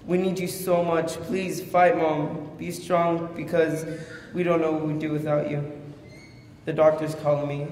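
A man's voice: a little high, close to an everyday voice. A man performing a stage monologue as a scared teenager pleading with a dying mother, earnest and tender. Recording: echoey hall, distant mic, faint noise.

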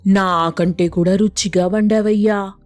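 A woman's voice: medium pitch, fairly even intonation, measured pace, a little mellow, dry recording, faint music behind, close to an everyday voice. A woman playing a thrifty, nagging village wife in a moral story, with colloquial rustic speech. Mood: amazed. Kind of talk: Telugu moral story voice acting.

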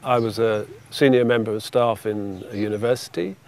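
An older man, a retired campaigner, speaking in an earnest, measured way in a documentary interview. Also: lowish voice, steady tone, darkish tone, slight background noise. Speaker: debt campaigner.

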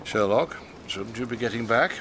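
An English actor playing an imperious senior government man in a radio drama, measured and pompous. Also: mid-range voice, in a large hall, background noise, lightly acted. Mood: measured, knowing. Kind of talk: BBC radio drama acting.

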